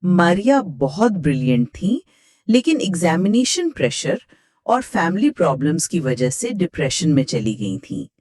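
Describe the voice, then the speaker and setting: A woman's voice: on the low side, very animated, a little slow, a little mellow, no echo. A woman with a Pakistani accent hosting and reading a scripted horror story. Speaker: host, horror story narrator.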